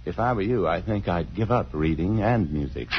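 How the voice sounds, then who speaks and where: A man's voice: sits low, expressive, normal speed. An actor playing a charming, playful married man in love, suave and teasing in an old radio drama style. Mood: playful, romantic. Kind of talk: 1950s radio drama acting. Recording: muffled, noisy old radio broadcast.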